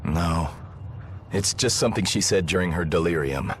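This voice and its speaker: A man's voice: deep voice, animated, normal speed, a little hiss or hum. A man voicing an earnest, courteous grown man in an anime game, with a polite, sincere manner. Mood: informative. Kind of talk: anime game dub acting. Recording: music behind.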